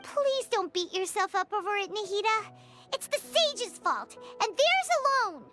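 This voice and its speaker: A woman voicing a small, excitable, talkative fairy companion in a video game, with a squeaky, childlike, earnest delivery. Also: unhurried, over music, exaggerated voice. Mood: consoling. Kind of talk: English video game dub acting.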